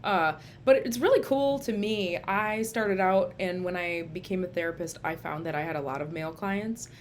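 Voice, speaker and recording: A woman's voice: fairly low pitch, moderate pace, a little hiss or hum. Chatty woman with a Minnesota accent. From Minnesota, USA. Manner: chatty and informal. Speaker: licensed social worker and therapist. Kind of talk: casual video book review. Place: home room used for telehealth sessions.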